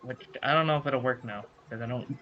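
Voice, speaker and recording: A man's voice: medium pitch, brisk, dull audio. A man on a casual panel podcast, talking bluntly and combatively with plenty of swearing. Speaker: podcast panelist explaining a tiebreaker.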